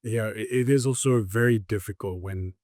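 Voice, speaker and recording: An interviewer asking hesitant, halting questions in a conversational interview. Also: medium pitch, darkish tone, no room sound, studio quality.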